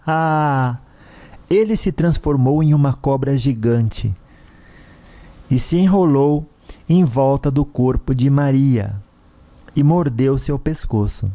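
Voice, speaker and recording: A man's voice: medium pitch, dark tone. A man with a Brazilian accent giving a scripted, dramatic narration of a story.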